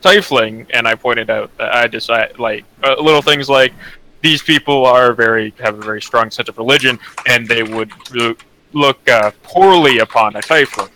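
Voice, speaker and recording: Co-host, man from Canada, sharp and very bright tone, rambling delivery. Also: mid-range voice, normal speed, a little hiss or hum, low-quality recording. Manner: rambling, full of fillers. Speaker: podcast co-host. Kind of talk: casual gaming chat.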